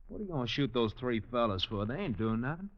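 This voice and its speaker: An actor playing an uneasy, laconic American cowboy sidekick in an old radio western, with plain folksy talk. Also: male voice, mid-range voice, very animated, quick pace, lightly acted. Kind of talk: 1950s radio western acting. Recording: dull old radio recording.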